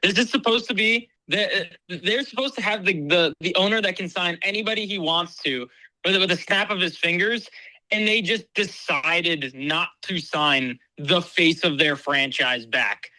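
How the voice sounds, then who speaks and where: A man calling in to a sports podcast. He has a high, very bright voice, stammers at first and then builds through rhetorical questions. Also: moderate pace, no room sound, poor audio. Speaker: caller. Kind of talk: call-in opinion.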